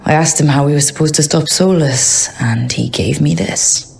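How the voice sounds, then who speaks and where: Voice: mid-range voice, moderate pace, gravelly, a little mellow. A voice actor playing a young elf adventurer and former rogue who talks in a wry, sarcastic, joking way. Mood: reflective.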